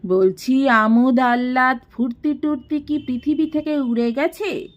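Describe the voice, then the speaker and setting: Woman acting a haughty, high-pitched elder matriarch. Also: measured pace. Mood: sly, insinuating. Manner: imperious, scolding, sarcastic. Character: haughty, scheming, domineering elder matriarch of a declining household.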